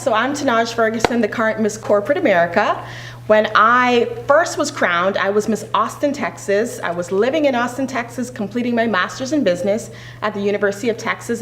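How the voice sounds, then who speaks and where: A woman's voice: fairly high pitch, brisk, fairly bright tone, a little hiss or hum. A young adult woman from the Bahamas, a pageant titleholder chatting casually and laughing easily. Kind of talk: casual interview chat.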